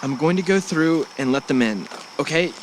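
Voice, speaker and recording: A man's voice: on the high side, expressive, moderate pace, lightly acted. A man playing a worried but supportive husband in an amateur audio drama, hesitant and reassuring. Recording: clearly noisy amateur recording.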